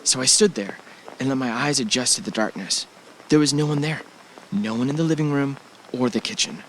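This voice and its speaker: Guy acting wary reluctant boyfriend in a radio play, ordinary mid pitched voice, bit of noise. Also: a little fast, close to an everyday voice, low-quality recording. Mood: uneasy, baffled. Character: wary, reluctant male partner of an adventurous woman. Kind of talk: audio drama acting.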